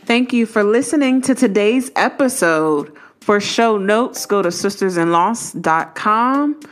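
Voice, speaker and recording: A woman reading a scripted podcast outro in a clear, measured way. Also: mid-range voice, lively intonation. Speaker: podcast host. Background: music.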